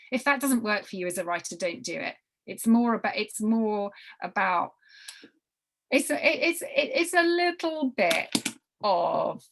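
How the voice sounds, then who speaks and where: Woman leading an informal, halting group briefing. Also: mid-range voice, gravelly, fairly bright tone. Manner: halting, repeats and restarts phrases emphatically. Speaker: writing workshop leader. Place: online group video call.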